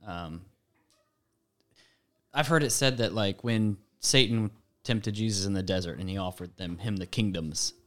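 Guy chatting on a podcast, lowish voice, careful and a bit tentative. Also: unhurried, a bit bright. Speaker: podcast participant. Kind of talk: casual discussion.

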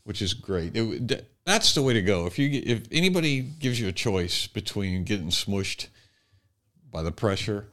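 A man's voice: on the low side, fairly bright tone. A man co-hosting a casual talk show, speaking in a conversational, off-the-cuff way. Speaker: podcast co-host.

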